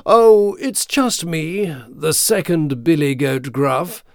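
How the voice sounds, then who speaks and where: Storybook goat of middling size, man performing, nervous and clear, pitched up, unhurried. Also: lively intonation, a little mellow, dry recording, clean studio sound. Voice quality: fine, clear voice. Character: middle-sized, anxious male billy goat. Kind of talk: children's storybook character voice.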